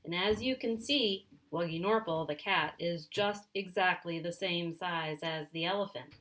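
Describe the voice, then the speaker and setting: A woman voicing an excitable, stubborn, boastful male storybook character in an insistent, exclamatory way. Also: on the low side, measured pace. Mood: proud, insistent.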